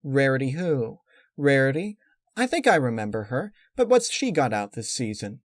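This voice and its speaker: Villainous young pony mare, male reader: smug, cruel, measured taunts, raised a little above his narration, close dry sound. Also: medium pitch, very animated, no room sound, voice pushed up, close to an everyday voice. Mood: smug taunting.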